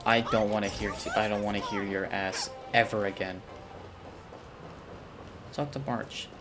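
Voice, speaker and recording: A man's voice: on the low side, little pitch movement, unhurried, over music. A man giving casual game commentary, thinking aloud in a rambling, sweary way as a streamer.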